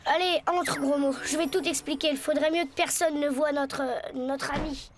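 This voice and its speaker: A woman's voice: high voice, steady tone, normal speed, natural voice. A woman voicing an earnest, hesitant young boy in a French cartoon. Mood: reassuring. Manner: hesitant, protesting, childlike.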